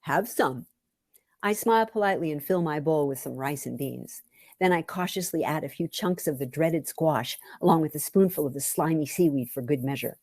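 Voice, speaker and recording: A woman reading her own first-person story aloud with wry, deliberate, dramatic phrasing. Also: low-pitched, mellow, dry recording.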